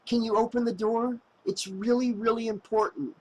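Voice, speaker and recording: A man voicing a woman crewmate who coaxes and pleads gently, then turns stern. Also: very high-pitched, steady tone, normal speed, pitched above the performer's own voice, low-quality recording. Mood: coaxing. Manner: soft coaxing, pleading.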